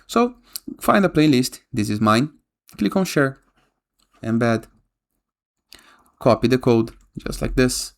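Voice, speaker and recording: A man hosting a casual software tutorial voice-over, giving step-by-step instructions and often checking in with a short questioning tag. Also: mid-range voice, big pitch swings, takes it slowly, vocal fry, long pauses. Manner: frequently tags instructions with a questioning "okay?". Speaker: tutorial host. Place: voice-over for a screen recording.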